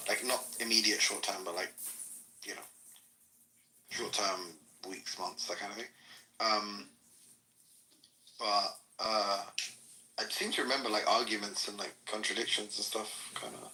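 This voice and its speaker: A man chatting casually in a slangy, halting, informal way. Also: lowish voice, steady tone, pushed and bright, poor audio. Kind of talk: casual chat.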